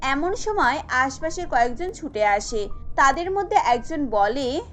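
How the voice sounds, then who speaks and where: A woman's voice: sits high, very animated, a little slow, fairly bright tone, soft background music. A woman narrator reading a scripted cartoon story.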